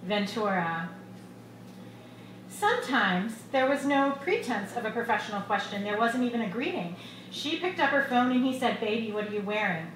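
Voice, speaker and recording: Woman author reading fiction live, expressive, really echoey bar, noisy recording. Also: on the high side, moderate pace. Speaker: author reading her own short story. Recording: echoey bar room with loud background noise.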